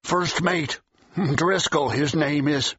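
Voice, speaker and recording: A man playing a gruff, gossipy old American watchman on the docks, husky and folksy, in an audiobook drama. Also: on the high side, a bit bright, no room sound, heavily acted. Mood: guarded. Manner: slow, folksy, ungrammatical dockworker talk. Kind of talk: full-cast audiobook acting. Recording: dull, muffled audio.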